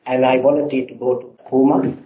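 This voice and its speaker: A middle-aged man from Sri Lanka, answering interview questions in a halting way with many filler sounds. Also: medium pitch, level tone, mellow. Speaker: guest engineer. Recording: roomy, slightly dull sound.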